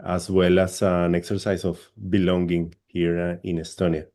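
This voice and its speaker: A man's voice: sits low, level tone, normal speed, warm tone. A man from Colombia, an English speaker, giving an academic panel presentation over a video call, hesitant and repeating words often.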